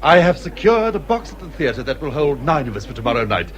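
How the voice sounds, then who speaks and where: An actor playing a jovial, good-natured gentleman husband in a period literary drama, hearty and chatty. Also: a little high, big pitch swings, brisk. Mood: pleased, boastful. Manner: hearty, chatty, well-meaning.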